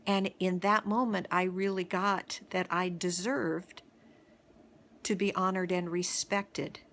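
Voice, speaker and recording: A middle-aged woman telling a reflective personal story as host of a daily video series, halting often, with pauses and restarts. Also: lowish voice, fairly even intonation, fairly bright tone, a little hiss or hum.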